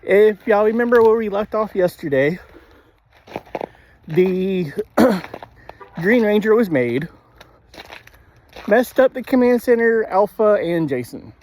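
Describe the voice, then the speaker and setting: A man giving a casual spoken episode review in a halting way, often clearing his throat. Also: high-pitched, darkish tone, slight background noise.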